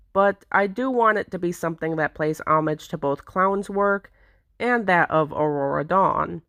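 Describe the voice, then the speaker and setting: Low-voiced woman narrating a vlog. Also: dry recording, clean studio sound. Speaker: video creator. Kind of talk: semi-scripted explanatory vlog narration.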